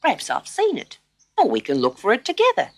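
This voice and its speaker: A woman voicing a gentle, motherly grey kangaroo in a children's storybook, chatty, kindly and emphatic. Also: medium pitch, huge pitch swings, fairly quick, voice pushed up. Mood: kindly curious.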